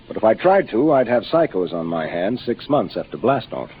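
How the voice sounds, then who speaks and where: Low-voiced, commanding American spaceship captain. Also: male voice, sing-song, moderate pace. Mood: grave, explanatory. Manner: commanding, explanatory. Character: seasoned, matter-of-fact male spaceship captain. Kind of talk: 1950s radio drama acting. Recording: muffled, noisy 1950s radio broadcast.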